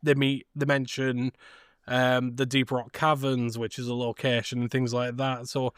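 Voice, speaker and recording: Clear-voiced man in casual podcast chat. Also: medium pitch, level tone, moderate pace, no room sound, studio quality. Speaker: podcast co-host. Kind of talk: casual movie discussion.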